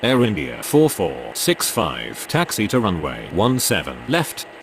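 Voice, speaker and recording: Casting: male actor as brisk game air traffic voice, low and creaky, official clipped calls. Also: huge pitch swings, exaggerated voice. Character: male airport radio operator, brisk and official. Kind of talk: clipped aviation radio phraseology.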